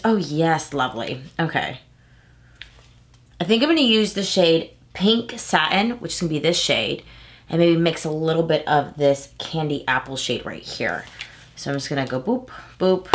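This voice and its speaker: A woman's voice: low-pitched, lively intonation, measured pace. A woman in her thirties from Texas with a slightly nasal, stuffy voice, chatting casually through a makeup tutorial and correcting herself as she goes. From Houston, Texas. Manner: chatty, rambling, self-correcting. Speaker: beauty vlogger. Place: small room at home, indoors.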